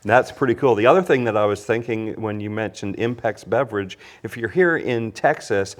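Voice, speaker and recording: A man's voice: on the low side, moderate pace. A man from Canada hosting a casual whiskey chat, introducing his guests in a chatty way. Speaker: host. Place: indoors at a teaching academy.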